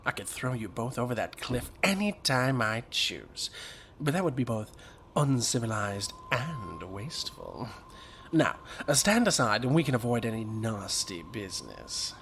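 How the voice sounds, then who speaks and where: A man's voice: mid-range voice, very animated, a little slow, fairly bright tone. A man playing a suave, condescending weather-mage villain in an audio drama, taunting with mock politeness. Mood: smug threat. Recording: clear background noise.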